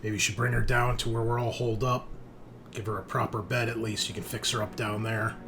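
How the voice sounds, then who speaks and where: Gruff male convict, played by a male game master: lowish, blunt, foul-mouthed, wary but helpful, tabletop sci-fi game. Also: level tone, fairly quick, background noise, close to an everyday voice. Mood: gruff concern. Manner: slangy, blunt, swearing.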